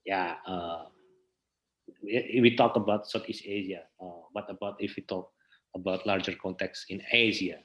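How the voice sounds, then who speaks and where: A man with an Indonesian accent speaks as a guest in a casual interview. His voice sits on the low side. He builds sentences haltingly, repeating words before moving on. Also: steady tone, a bit bright, rough recording. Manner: halting, repeats words, non-native English. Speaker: interview guest, theater researcher and actor.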